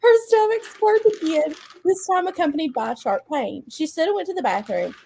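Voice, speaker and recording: A woman with a fairly high voice reads a story aloud to friends. She slips between narration and casual, conversational banter. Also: lively intonation, a little fast, creaky, mellow. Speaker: guest reader on a live show. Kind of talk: dramatic reading aloud mixed with casual banter.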